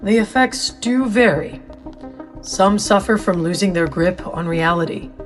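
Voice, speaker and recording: A woman playing an authoritative scientist in an audio drama, explaining things in a formal, measured way. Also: mid-range voice, soft background music, lightly acted. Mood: stern, explanatory. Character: authoritative, formal female scientist briefing a newcomer. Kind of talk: scripted audio drama dialogue.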